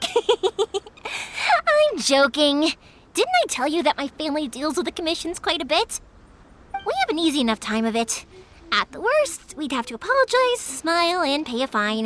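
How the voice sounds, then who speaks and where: A woman's voice: sits high, very animated, measured pace, a bit bright, a little hiss or hum, heavily acted. A young woman voicing a cheerful, easygoing, big-sisterly fireworks maker in a video game, chatty and friendly. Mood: breezy, reassuring. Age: young adult. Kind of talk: English video game character voice acting.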